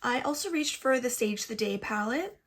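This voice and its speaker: A woman's voice: fairly high pitch, expressive, normal speed, fairly bright tone, husky. Stuffy-nosed chatty woman vlogger. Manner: chatty and self-deprecating. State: congested, stuffy nose. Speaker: beauty vlogger. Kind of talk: casual makeup tutorial chat. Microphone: laptop built-in mic.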